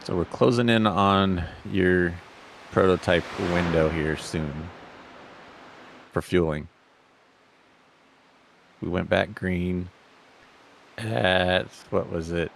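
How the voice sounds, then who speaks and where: A man giving casual, low-key co-commentary on a live sim race in a conversational way. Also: takes it slowly, creaky, long pauses. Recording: faint background noise.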